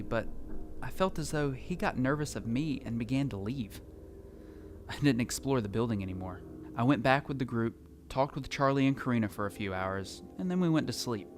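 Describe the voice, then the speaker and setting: A man's voice: mid-range voice, lightly acted. A man playing a lonely, reflective survivor who narrates his post-apocalyptic journey in a scripted audio drama.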